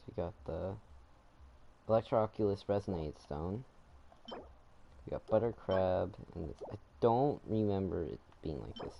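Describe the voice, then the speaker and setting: A young man giving casual, informal gameplay commentary, often halting and repeating his words. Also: low-pitched, gravelly, highs cut off. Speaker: gaming streamer. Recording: noisy home microphone, clicks.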